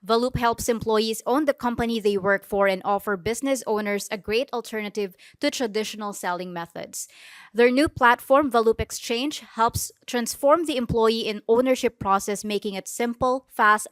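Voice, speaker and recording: A woman hosting an event reads a polished, scripted introduction. Her voice sits a little high, and the recording is dry and close with no room echo. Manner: smooth, polished, reading announcements. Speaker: event host.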